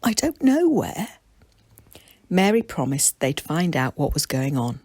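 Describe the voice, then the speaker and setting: A woman's voice: sits low, big pitch swings, unhurried, darkish tone, no echo. A woman narrating a children's storybook as its author and reader.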